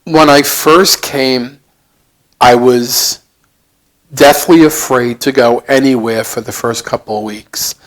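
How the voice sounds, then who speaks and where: An elderly man in his seventies speaks with a thick Brooklyn accent at a slow pace. He is an interview guest, reflective and plain-spoken, often halting as he gathers his words, with a modest, self-deprecating way of putting things. His voice sits at an average pitch. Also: steady tone, rough recording. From Brooklyn, United States. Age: 70s.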